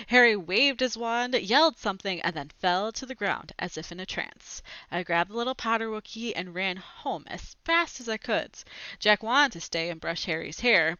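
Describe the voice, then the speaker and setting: Bright-voiced young woman reading a storybook. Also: medium pitch, no echo. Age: young adult. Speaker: read-aloud presenter. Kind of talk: storybook read-aloud with brief asides. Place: small room at home, indoors. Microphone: headset boom mic. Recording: slightly dull, lo-fi headset sound.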